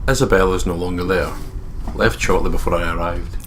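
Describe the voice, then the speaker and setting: A man's voice: deep voice, fairly even intonation, fairly quick, fairly bright tone, slight background noise. A man co-hosting a game playthrough, casually commenting and reading story text aloud, with a joking, sweary manner. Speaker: let's play co-host.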